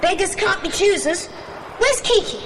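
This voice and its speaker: A woman playing a sensible, well-spoken British girl who briskly takes charge in a children's adventure audio drama. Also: extremely high pitch, animated, heavy background noise. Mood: relieved.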